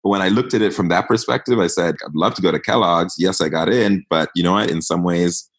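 A man in his thirties who grew up in East Africa, a guest telling stories in a relaxed interview with many fillers. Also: low voice, a little fast, breathy edge. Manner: reflective storytelling, many fillers. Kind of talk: casual interview talk.

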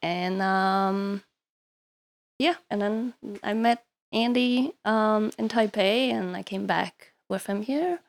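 A woman from Taiwan talks as a guest, pausing on ums and laughing lightly between thoughts. Her voice sits at an ordinary pitch for a woman, and her delivery is unhurried and modest. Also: gravelly. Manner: hesitant, many ums, soft laughs. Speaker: guest, cartoon animator. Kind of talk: casual interview chat.

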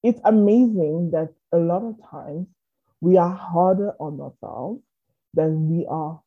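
A woman's voice: on the low side, unhurried, breathy edge. A woman from South Africa, a business coach, answering interview questions in an earnest and open way. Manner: earnest, openly sharing. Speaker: business and marketing coach, guest. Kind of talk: conversational interview. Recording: tinny phone-like line.

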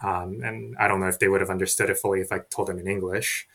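A young man from Korea, a native Korean speaker, talking as a podcast guest in a soft, hesitant way with many fillers and restarts. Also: sits low, little pitch movement, fast. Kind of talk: casual interview chat.

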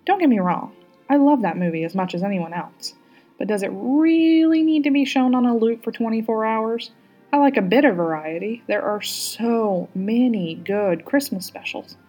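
A woman's voice: fairly high pitch, animated, moderate pace, dark tone, lo-fi sound, faint music behind, voice pushed up. A woman voicing an earnest, sincere female leader in audiobook dialogue. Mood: musing. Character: earnest, warm-hearted woman national leader.

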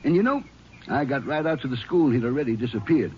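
A man's voice: mid-range voice, lively intonation, fast, a little hiss or hum, close to an everyday voice. Dull old radio recording, male actor as nervous small-town lawman, rural Southern drawl, rambling, hesitant, folksy. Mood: eager, flustered. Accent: rural American Southern. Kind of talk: 1950s radio drama acting.

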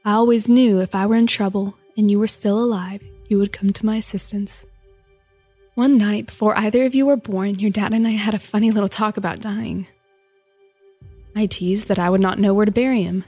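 A woman giving a measured, solemn dramatic reading of a personal letter. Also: mid-range voice, steady tone, dark tone, breathy edge, no echo, soft background music. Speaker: reader.

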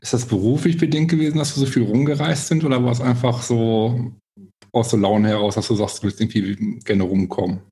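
Creaky-voiced man hosting a podcast. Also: mid-range voice, steady tone, fairly quick, dark tone. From Essen, Germany. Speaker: podcast host. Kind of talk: conversational interview.

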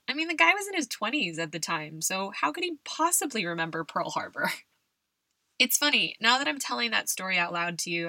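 Bright-voiced woman podcast host. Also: fairly low pitch, dry recording. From California. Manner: warm, conversational, laughs often. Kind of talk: personal storytelling podcast.

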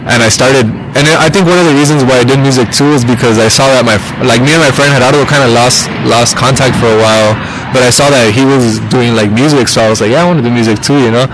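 A man's voice: medium pitch, fast, few pauses, noisy background. A man in his thirties, a musician guest on a podcast, talking casually and rambling with lots of fillers and restarts. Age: 30s. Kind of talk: casual interview chat.

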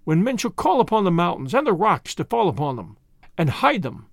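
A man's voice: high-pitched, very animated, brisk, vocal fry, no room sound, clean studio sound, heavily acted. A man voicing a distraught male clergyman who complains and wails as if half out of his mind. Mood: terrified. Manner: complaining, wailing, half-demented.

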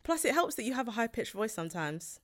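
A woman's voice: fairly high pitch, sing-song, quick pace, a bit bright. A young British woman co-hosting a podcast, asking probing questions and murmuring agreement. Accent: British English. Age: young adult. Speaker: podcast co-host. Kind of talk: casual podcast chat.

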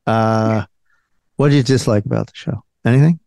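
A man's voice: medium pitch, normal speed, creaky, warm tone, dry recording, studio quality. A man from New Jersey hosting a casual interview podcast, talking in loose restarts and fillers. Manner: conversational, trailing restarts and fillers. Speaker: podcast host.

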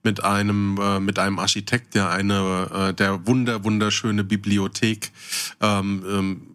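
A man's voice: lowish voice, flat delivery, a little slow, fairly bright tone. A man chatting casually as a podcast co-host, questioning and challenging the other speaker. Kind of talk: casual chat, probing discussion.

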